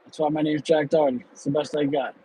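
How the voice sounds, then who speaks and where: A man in his late thirties hosts an off-the-cuff livestream from behind the wheel, answering viewers candidly and rambling through restarts. His voice sits a little high for a man. A faint hum of the car in traffic runs underneath. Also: flat delivery, quick pace, mellow, poor audio. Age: 30s. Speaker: livestream host. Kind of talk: casual livestream chat.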